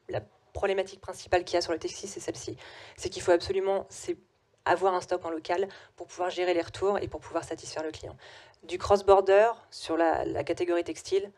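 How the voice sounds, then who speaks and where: A woman's voice: lowish voice, darkish tone. A young adult woman speaking at a business conference and answering questions, with frequent hesitations and restarts. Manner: hesitant, many "euh" fillers and restarts. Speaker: conference speaker, company CEO. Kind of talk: conference talk with Q&A.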